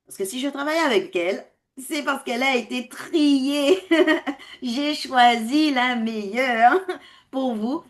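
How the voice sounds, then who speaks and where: A woman hosting an online information meeting for parents on a video call, speaking in an animated way, laughing often and changing pace. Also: high voice, takes it slowly. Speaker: host of a parents' club.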